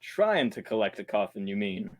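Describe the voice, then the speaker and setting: A man's voice: fairly low pitch, big pitch swings, normal speed. A man playing a tired, dryly sarcastic studio worker in a 1940s-style radio drama.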